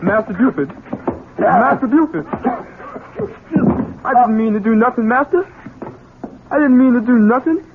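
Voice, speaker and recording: High-voiced, simple-minded country son. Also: male voice, animated, noisy background. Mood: meek, apologetic. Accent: American. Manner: halting, pleading, rustic speech. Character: simple-minded, childlike grown son, anxious, gentle yet dangerous. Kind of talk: 1970s American radio drama acting. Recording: tinny band-limited old radio broadcast.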